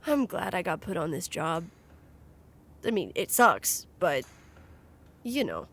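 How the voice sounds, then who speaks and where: A woman playing a gentle, guileless, affectionate young colleague in an audio drama, with simple, tentative speech. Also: on the low side, sing-song, slow pace, heavily acted. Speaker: voice actor. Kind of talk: naturalistic audio drama acting.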